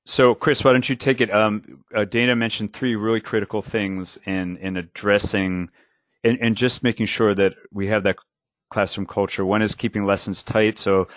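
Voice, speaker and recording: A male language teacher talking casually on a panel, halting with many restarts and fillers. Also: lowish voice, level tone, a bit bright, no echo.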